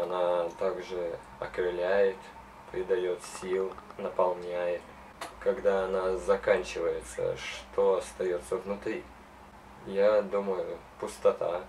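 Young guy in his twenties, low steady voice, kinda far off the mic with hiss, thoughtful. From Russia. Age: 20s. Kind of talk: sit-down interview answers. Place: indoors against a wall.

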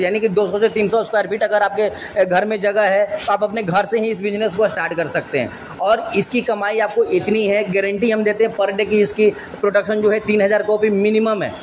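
A young man pitching machinery to camera from a small workshop, explaining earnestly and repeating his points. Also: steady tone, fairly quick, few pauses, a little hiss or hum. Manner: earnest, repetitive explaining, gestures with hands. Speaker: machine seller presenting.

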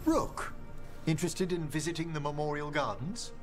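An actor playing a courteous, refined older gentleman necromancer, speaking formally in video game dialogue. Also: a little high, normal speed, soft background music, lightly acted. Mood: gentle invitation. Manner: formal, polite.

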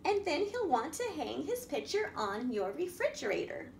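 Very high-pitched, sing-song woman reading to children. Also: moderate pace, creaky. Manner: expressive, sing-song, addressing young children with questions. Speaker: children's librarian, storytime host. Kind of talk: picture-book read-aloud for young children. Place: indoor room at a wooden table by a window. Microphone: camera across the table. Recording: echoey room with background noise.

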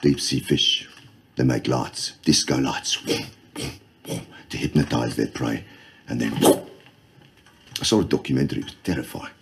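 A gravelly arms dealer, very deep, drawling, sardonic, music under. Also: male voice, steady tone, a little slow, pauses often, fairly bright tone, a little hiss or hum, full character voice. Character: gruff middle-aged male arms dealer, sardonic. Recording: film soundtrack with score.

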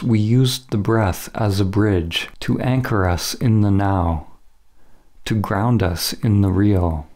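A man narrating a scripted spiritual meditation as a teacher, in measured, deliberate phrases with short pauses. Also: lowish voice, a little mellow.

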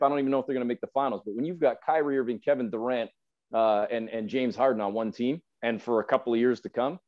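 A man's voice: medium pitch, darkish tone. Emphatic man talking sports, slightly dull audio. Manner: emphatic, repetitive phrasing for effect. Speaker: radio sports announcer, podcast guest. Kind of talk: opinionated sports discussion. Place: indoor room at home, video call.

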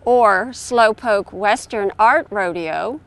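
A woman's voice: mid-range voice, takes it slowly, smooth. A woman presenting in a scripted promotional style.

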